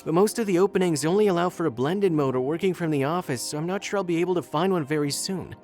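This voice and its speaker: An earnest young mother, as a male narrator puts her on, her voice lifted high and light. She sounds kind and sincere, with faint music underneath. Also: fast talker, a little mellow, close to an everyday voice. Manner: raised, lighter storyteller voice.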